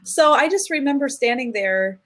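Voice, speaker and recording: A woman's voice: high voice, expressive, moderate pace, a bit bright. A woman speaking as a guest in an interview, telling a personal story in an earnest, reflective way with frequent restarts. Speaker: guest, singer.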